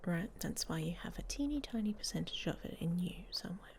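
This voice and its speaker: A woman's voice: lowish voice, animated, fast. A woman playing a sardonic, guarded werewolf lover in an intimate ASMR romance roleplay, whispering close to the microphone in a dry, hesitant way. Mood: wry, confiding. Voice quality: breathy whisper with creaky edges.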